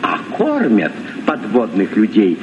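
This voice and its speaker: Casting: dry, proud professor for radio play, swooping intonation, muffled old tape. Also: male voice, on the low side, wildly swooping pitch, a little slow, far from the mic, background noise. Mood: lecturing. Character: dry, proud old scientist, discoverer of Atlantis. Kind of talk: Soviet radio drama acting.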